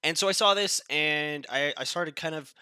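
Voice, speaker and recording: A man's voice: fairly high pitch, moderate pace, pushed and bright, clear, resonant tone, dry recording. A young man with a stuffy, nasal voice from a cold, giving blunt, dismissive commentary as a channel host, often restarting his sentences. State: sick, nasal voice. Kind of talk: casual political commentary.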